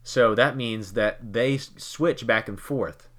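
A man's voice: mid-range voice, slow pace. A man from Kentucky co-hosting a casual podcast, rambling and joking as he quizzes his cohost with leading questions. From Kentucky, United States. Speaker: podcast host.

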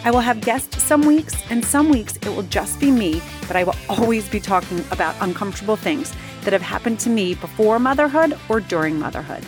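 A woman's voice: medium pitch, animated, a little fast, hardly any pauses. A woman giving an upbeat, scripted introduction as a podcast host. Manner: upbeat, promotional. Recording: intro read over loud music.

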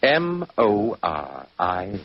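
A smug, politely menacing mastermind in 1940s radio style. His voice is low and he taunts with drawling courtesy, through a muffled vintage broadcast. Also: huge pitch swings, extremely slow, breathy edge, heavily acted. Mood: smug, taunting.

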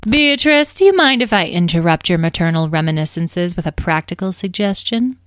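A woman's voice: on the low side, lively intonation, a little fast, a bit bright. A woman voicing a bossy, snobbish fairy leader in a storybook, with grandiose, emphatic phrasing and biting wit. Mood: brisk, dry. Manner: grandiose, gushing, emphatic, sarcastic.

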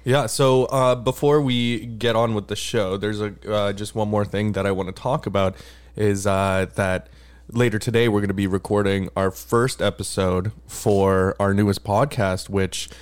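A man's voice: lowish voice, steady tone, normal speed, smooth. A man co-hosting a casual podcast, rambling with lots of fillers and jokes. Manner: rambling, filler-heavy, joking. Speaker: podcast co-host.

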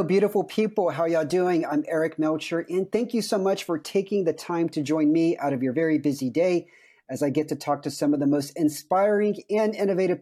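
A man's voice: on the high side, darkish tone, breathy edge. A man from the United States hosting a startup podcast, chatty and jokey, laughing often. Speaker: podcast host. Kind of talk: upbeat podcast interview.

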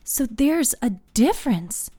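A probing young woman, put on by a female narrator, pitched high, thinks aloud in a storybook fantasy. She is analytical and a little halting, with a dry, close sound. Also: big pitch swings, warm tone, dry recording, full character voice.

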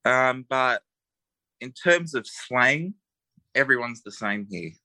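Bright-voiced Australian man, laid-back. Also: mid-range voice, animated, slow, no room sound. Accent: Australian, western Sydney. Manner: laid-back, searching for words, trails off. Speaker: guest. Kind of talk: casual chat.

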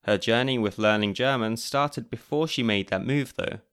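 British male podcast host, wry scripted narrator, mid-pitched voice. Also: normal speed, a bit bright, clear, resonant tone. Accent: British English. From Britain.

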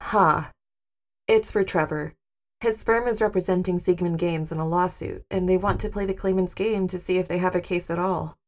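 A woman's voice: on the low side, fairly even intonation, moderate pace, natural voice. A woman playing a practical, guarded wife hosting guests in an audio drama, polite and matter-of-fact. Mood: explaining.

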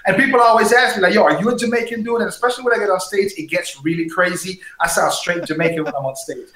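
A man's voice: high voice, fast. Upbeat man from Colombia, echoey room. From San Andres, Colombia. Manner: animated storyteller, says bro a lot. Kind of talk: casual interview chat.

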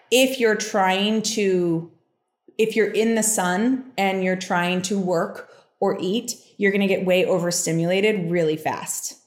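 Chatty young woman in a roomy space. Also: medium pitch. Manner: chatty, many "like" fillers, quick asides. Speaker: podcast host giving a reading. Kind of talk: casual explanatory chat. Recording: roomy echo.

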